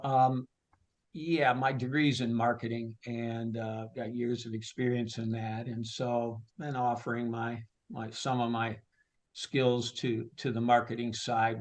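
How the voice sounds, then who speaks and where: Male, mid-pitched, ballpark announcer type in an informal interview, conversational and unscripted. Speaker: stadium public address announcer and marketer. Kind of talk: casual interview answers.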